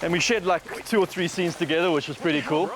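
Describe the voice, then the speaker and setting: A man's voice: a little high, a crowd in the background, rough recording. A man hosting, chatting in a matey way outdoors by a waterfall. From South Africa. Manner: brisk, matey, says bro and dude. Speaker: host. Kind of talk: casual chat. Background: background music.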